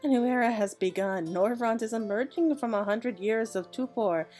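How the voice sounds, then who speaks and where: A woman's voice: on the high side, moderate pace, darkish tone, soft background music, natural voice. A woman voicing a refined, supportive merchant chairwoman from a fantasy game in formal, eloquent phrasing. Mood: fond, encouraging.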